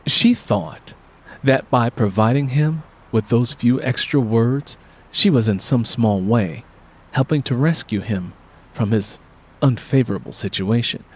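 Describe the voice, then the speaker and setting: A man's voice: mid-range voice, a little slow, a little mellow. A man reading scripted fiction as an audiobook narrator.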